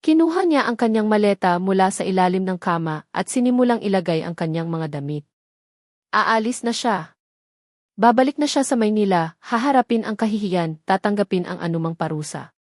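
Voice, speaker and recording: A woman's voice: medium pitch, animated, moderate pace, no room sound, clean studio sound. A woman with a Filipino accent reading a scripted dramatic story as its narrator.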